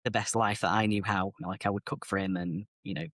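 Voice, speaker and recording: Middle-aged brit guy opening up about his past, lowish voice, dry close sound, talks freely and corrects himself a lot. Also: level tone, brisk, a bit bright, no room sound. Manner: open, reflective, chatty, self-correcting. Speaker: guest. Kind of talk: candid personal storytelling.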